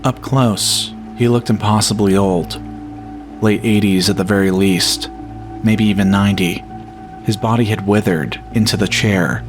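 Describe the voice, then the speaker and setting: A man narrating a scripted first-person horror story in a measured, solemn storytelling manner. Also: lowish voice. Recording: music bed behind the voice. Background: atmospheric music.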